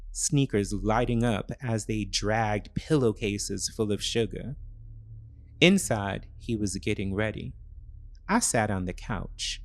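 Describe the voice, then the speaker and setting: A man narrating a scripted first-person thriller story in short, deliberate, measured phrases. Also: on the low side, creaky.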